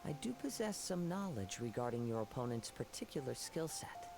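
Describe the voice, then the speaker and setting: A young wandering samurai speaks with a creaky edge over clear background music. He is calm, poetic and courteous, with measured, formal game dialogue. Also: medium pitch. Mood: courteous, composed. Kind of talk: video game voice acting.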